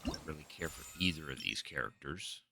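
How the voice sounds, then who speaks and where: Deep-voiced man muttering through game commentary. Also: lively intonation, brisk, vocal fry, sharp tone, slight background noise. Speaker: game livestreamer. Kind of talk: casual gameplay commentary. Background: game music.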